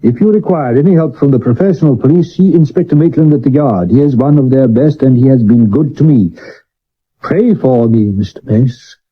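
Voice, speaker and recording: A man's voice: medium pitch, moderate pace, husky, lightly acted. Man playing a pompous Victorian actor, muffled broadcast. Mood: reading aloud. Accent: British. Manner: pompous, grandiloquent, given to sudden shouts. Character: theatrical hard-drinking Victorian actor, vain, imperious, bored. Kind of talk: 1970s British radio drama acting. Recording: muffled old medium wave radio recording.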